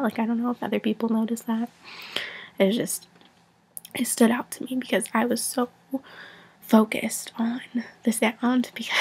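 A woman's voice: fairly high pitch, slightly rough. A young woman with a whiny voice, getting over a cold, rambling through a casual film review into a webcam in her bedroom. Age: young adult. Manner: chatty, rambling, lots of "like" and restarts. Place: small bedroom, indoors. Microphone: webcam at arm's length.

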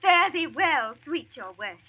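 An actress playing a loquacious medieval damsel in distress, pleading in flowery archaic speech in a radio drama. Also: female voice, high-pitched, sing-song, a little slow, bright, forceful tone, muffled recording, a little hiss or hum, exaggerated voice. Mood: plaintive. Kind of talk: 1940s radio acting.

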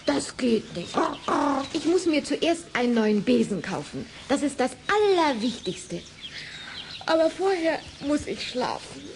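A woman's voice: high voice, very animated, measured pace, darkish tone, exaggerated voice. A woman playing a young, earnest and stubborn little witch in a children's radio play. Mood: earnest, reluctant. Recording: noisy old vinyl audio drama.

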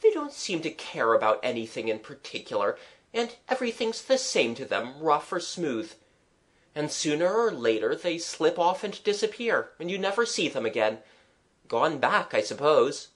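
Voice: mid-range voice, big pitch swings, normal speed, pitched above the performer's own voice, close to an everyday voice. A reader voicing a genial, well-travelled man who paints, with a dry, kindly, musing manner in a storybook.

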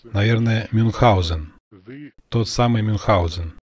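A man from Russia, a blogger, talking in a calm, measured and modest way on a video call. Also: lowish voice. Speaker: blogger interviewing a guest. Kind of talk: calm Russian voice-over of a translated conversation.

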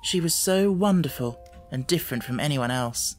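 A man's voice: medium pitch, expressive, moderate pace, soft background music. A man reading a children's novel aloud as scripted audiobook narration.

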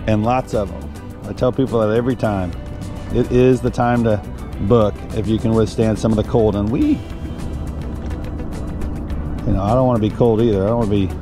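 Guy fishing guide talking casual n folksy on a boat outside, action cam audio, music in the background. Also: mid-range voice. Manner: folksy, addresses viewers as folks. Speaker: fishing guide and vlog host. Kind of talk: casual fishing commentary to viewers while fishing. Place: outdoors on a small fishing boat on an open, windy lake. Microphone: action camera worn on the body.

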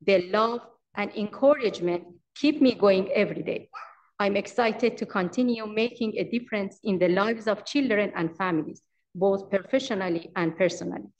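A woman in her forties with an Iranian accent presents from notes at a public meeting. Her pitch is average for a woman, and her delivery is halting and formal. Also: highs cut off. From Iran. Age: 40s. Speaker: daycare owner and manager presenting. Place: large council chamber. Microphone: podium microphone.

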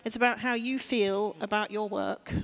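A woman's voice: mid-range voice, fairly even intonation, moderate pace, gravelly. Woman panelist, thinks aloud, restarts, long hall reverb. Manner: thinks aloud, restarts, checks she is understood. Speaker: panel speaker. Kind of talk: panel discussion. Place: large hall on stage.

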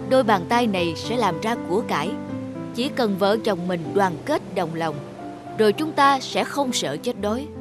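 A woman's voice: medium pitch, expressive, moderate pace, music in the background, natural voice. A woman voicing a humble, hopeful young husband and father, earnest and respectful, in a cartoon fairy tale. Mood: reassuring.

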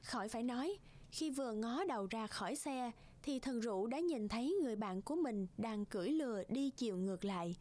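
High-voiced woman narrating a fairy tale. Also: lively intonation, a little fast, a little mellow.

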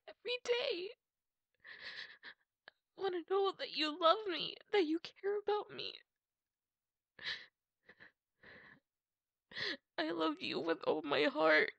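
A woman playing a heartbroken young girlfriend in an ASMR roleplay, crying and pleading in halting phrases, often slipping into a breathy whisper. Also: very high-pitched, no echo, dull audio, full character voice. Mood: pleading, tearful. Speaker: roleplay voice actor. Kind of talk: intimate ASMR roleplay acting.

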